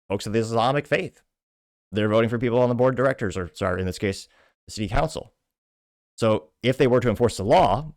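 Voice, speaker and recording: A man's voice: fairly low pitch, no room sound. A man with an American accent giving casual, rambling political commentary as a show host, with offhand asides.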